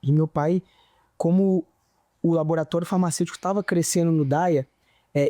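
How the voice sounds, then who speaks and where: A man's voice: high-pitched, mellow, dry recording, studio quality. A young man with a near-carioca accent from Santos Dumont, Minas Gerais, telling his story earnestly as a podcast guest. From Minas Gerais, Brazil. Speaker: guest, engineer. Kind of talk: interview answers, storytelling.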